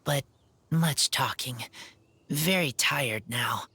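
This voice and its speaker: An actor playing a shy, earnest wolf-raised teenage boy in a video game, speaking in halting, broken short phrases. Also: medium pitch, vocal fry, heavy background noise. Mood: tired, hesitant. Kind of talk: video game cutscene acting.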